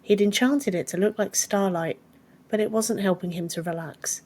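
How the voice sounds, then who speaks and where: A woman's voice: mid-range voice, normal speed, darkish tone. A woman reading scripted fiction as an audiobook narrator.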